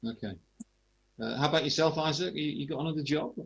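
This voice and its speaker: A man's voice: fairly high pitch, fast talker, gravelly, husky. A man hosting a casual radio interview, feeling his way hesitantly through his questions.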